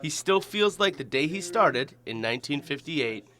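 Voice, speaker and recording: A man's voice: on the high side, lively intonation, moderate pace, sharp tone. A man with an American accent reading scripted narration as a television news reporter.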